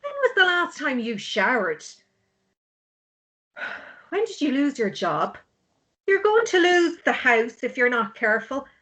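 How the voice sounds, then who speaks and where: Slow, high-voiced exasperated sister, acted by a woman. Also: very animated, fairly bright tone, full character voice. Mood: angry, exasperated. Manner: blunt, pleading. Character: exasperated, blunt, worried sister of a grieving drunk. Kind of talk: drama script table read.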